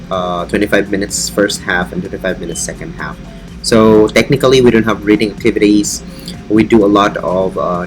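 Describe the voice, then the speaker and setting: A man with a Filipino accent, an online English teacher talking earnestly and encouragingly about his lessons. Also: lowish voice. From Philippines. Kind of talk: casual teaching vlog.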